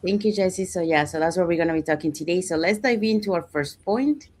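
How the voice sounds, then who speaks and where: Lady hosting the talk, kinda low voice, lots of "you know" and "so". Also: fast, a little mellow. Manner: casual, with many fillers like "you know" and "so". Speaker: host. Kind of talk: hosting a live talk show.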